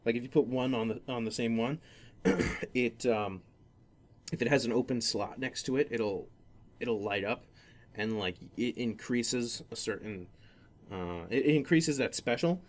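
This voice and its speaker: A man hosting a casual gaming podcast, talking in a rambling, halting way that often circles back on itself. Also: medium pitch. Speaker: podcast host.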